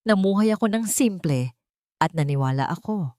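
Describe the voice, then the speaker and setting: A woman with a Filipino accent voicing a betrayed, quietly resolute heiress in an audio love story, soft but steady and bitter. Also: medium pitch, normal speed, dry recording, clean studio sound, natural voice. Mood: aching.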